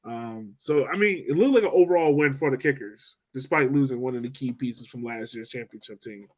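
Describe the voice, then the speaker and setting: A man co-hosting a casual sports podcast, talking in an animated way with quick asides. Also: medium pitch. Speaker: podcast co-host.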